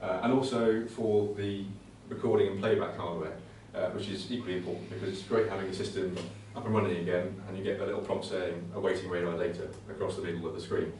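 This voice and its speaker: A man's voice: sits low, fairly even intonation, quick pace, few pauses, a little mellow. A man giving an informal technical presentation, speaking in a hesitant, conversational way and often repeating words. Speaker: presenter at a computing conservation talk. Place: indoor talk venue. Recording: very echoey room, distant mic, faint noise.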